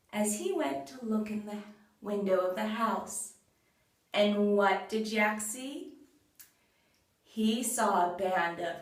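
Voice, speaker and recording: A woman narrating a children's folktale in a measured way, with sing-song refrains. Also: mid-range voice. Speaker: storyteller. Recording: very roomy echo, far from mic.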